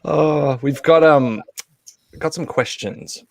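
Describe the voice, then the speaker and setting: A man's voice: on the high side, slow pace, gravelly, no echo. A man hosting a casual group discussion, posing questions to the others. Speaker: host.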